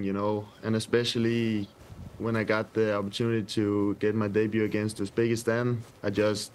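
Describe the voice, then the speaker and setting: Low-pitched, monotone, Danish accent, young man, soft-spoken, webcam sound. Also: clean tone. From Denmark. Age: 20s. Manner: soft-spoken, hesitant with many ums and restarts. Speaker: footballer guest. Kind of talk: remote interview answers. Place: indoor press room with sponsor backdrop. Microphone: webcam video call.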